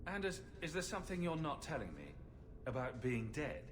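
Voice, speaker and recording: Fantasy game hero, businesslike and probing, lively intonation, noisy ambience. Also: male voice, fairly high pitch, moderate pace. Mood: inquisitive. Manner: direct, questioning. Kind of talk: fantasy game cutscene acting. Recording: noisy ambience behind dialogue.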